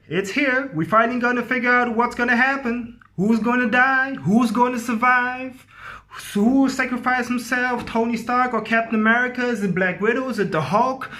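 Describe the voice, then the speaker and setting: A man's voice: extremely high pitch, sharp tone. A man giving a casual, enthusiastic movie review, speaking informally and repeating phrases for emphasis.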